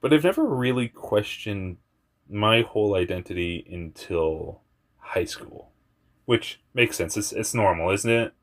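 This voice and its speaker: A young man with a very deep voice telling a personal story in a rambling, candid way, often laughing at himself and swearing. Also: very animated, takes it slowly, pauses often. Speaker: vlogger.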